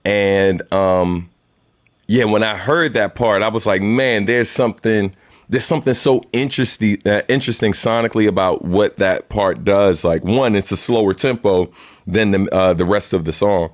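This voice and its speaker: A man with a very deep voice hosting a weekly live stream, chatting casually in a laid-back, rambling way with lots of fillers. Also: normal speed, no room sound, studio quality. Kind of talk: casual livestream chat and Q&A.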